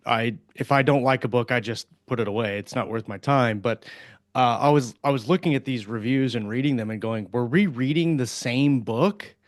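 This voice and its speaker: A man's voice: medium pitch, normal speed, no room sound, studio quality. A man co-hosting a podcast, talking casually and conversationally about his views. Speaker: podcast co-host. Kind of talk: casual book discussion.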